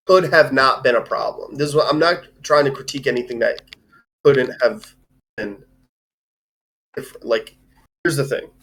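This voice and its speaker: A man co-hosting a casual live movie discussion, talking in a halting, rambling way with plenty of swearing. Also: medium pitch, poor audio. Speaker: co-host reviewing a film. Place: live online stream.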